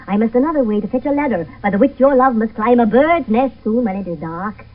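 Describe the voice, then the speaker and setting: A woman's voice: on the high side, animated, fast talker, natural voice. A woman playing a teasing, complaining, bawdy old nurse in a Shakespeare radio play, dawdling over her words. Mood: gleeful, fond. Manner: dawdling, groaning, chuckling. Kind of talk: 1970s radio Shakespeare acting. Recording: muffled phone-like vintage radio broadcast, distant.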